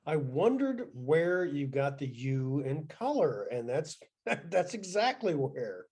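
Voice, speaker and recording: A man hosts an interview with a fellow writer, his voice warm and mellow and a touch high. He talks in a halting way, restarting his phrases often. He sounds like he is on a video call. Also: big pitch swings, husky. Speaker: interview host. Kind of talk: conversational interview.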